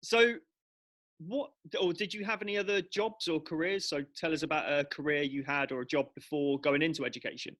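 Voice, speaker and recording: A man's voice: a little high, steady tone, a little fast, a bit bright. A man hosting a school staff podcast, asking friendly, teasing questions. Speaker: podcast host interviewing staff. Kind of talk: casual interview hosting.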